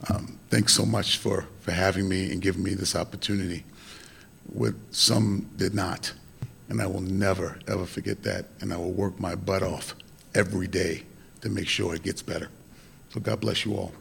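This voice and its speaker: Hoarse deep-voiced man, halting thanks. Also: fairly even intonation, a bit bright, a little hiss or hum. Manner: halting, many pauses and fillers. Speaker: honoree giving thanks. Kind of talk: emotional thank-you speech. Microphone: meeting room microphone.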